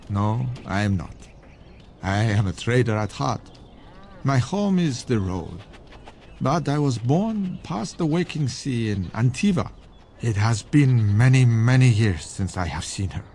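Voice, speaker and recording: A man's voice: fairly low pitch, darkish tone, a little hiss or hum. A man playing a suave, worldly foreign merchant with shady dealings in a fantasy video game, courtly and philosophical in manner. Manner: courtly, smooth-talking, philosophical.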